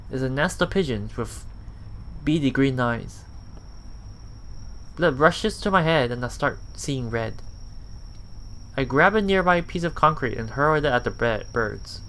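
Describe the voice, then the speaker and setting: A man reading a visual novel aloud as a let's play host, halting and self-correcting, with muttered casual asides. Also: mid-range voice, lively intonation, a bit bright, slight background noise, poor audio.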